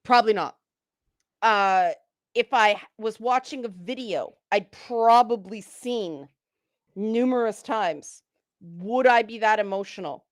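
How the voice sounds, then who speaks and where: A woman's voice: mid-range voice, slow, no room sound, clean studio sound. Frank, opinionated woman on a panel. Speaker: panel guest. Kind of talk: casual panel discussion.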